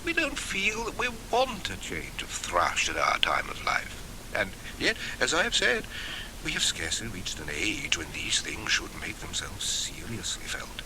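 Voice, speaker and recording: Prim, aggrieved middle-aged bachelor for a comic storybook played by a male reader, highly animated intonation, noisy old cassette. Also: unhurried. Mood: mildly irritated. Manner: prim, plaintive, precise. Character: fussy, sedate middle-aged bachelor, set in his ways. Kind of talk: acted audiobook dialogue. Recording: hissy old cassette tape.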